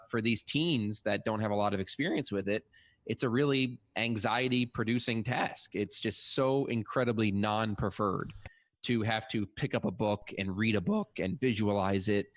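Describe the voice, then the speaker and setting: A man with a fairly low voice talks as a podcast co-host. His delivery is conversational, like a discussion among colleagues. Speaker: therapist working with students.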